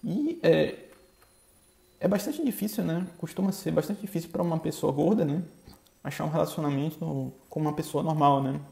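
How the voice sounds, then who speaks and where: A man from Bahia with a Bahian accent, hosting a podcast in a halting, casual monologue full of filler tags. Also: fairly high pitch, creaky, a little mellow. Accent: Bahian Brazilian Portuguese. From Bahia, Brazil. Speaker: podcast host. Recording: echoey room.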